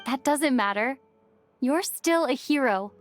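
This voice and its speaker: A woman's voice: sits high, animated, unhurried, faint music behind. A woman voicing an earnest, polite young woman in an anime-style video game, with a sincere, hesitant manner. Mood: sincere, grateful.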